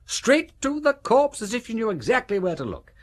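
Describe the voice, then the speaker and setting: An actor playing a witty aristocratic English amateur detective in a British radio drama, brisk and theatrical as he reasons aloud. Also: very high-pitched, big pitch swings, exaggerated voice. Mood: keen, argumentative. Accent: upper-class English.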